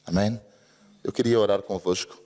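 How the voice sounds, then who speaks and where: A man's voice: fairly low pitch, steady tone, normal speed, dark tone. A man from Brazil with a Brazilian accent mixed with European Portuguese, preaching an earnest sermon and often asking the congregation for an amen. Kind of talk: church sermon. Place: church service hall. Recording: long reverb of a church hall.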